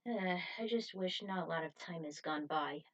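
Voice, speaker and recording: A woman's voice: lowish voice, moderate pace, lo-fi sound. A woman reading her own fan fiction story aloud as its author and narrator.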